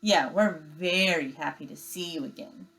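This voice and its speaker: A woman's voice: low-pitched, normal speed, gravelly, fairly bright tone. A woman voicing a grumpy young bear brother in a storybook, snappish and defensive.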